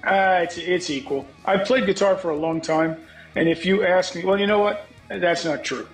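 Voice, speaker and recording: A middle-aged songwriter from the United States with a scratchy voice, speaking frankly as a guest on a video call. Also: male voice, high-pitched, moderate pace, soft background music. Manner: frank, reflective, swears casually. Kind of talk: candid interview talk. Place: home room, on a video call. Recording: echoey video call audio.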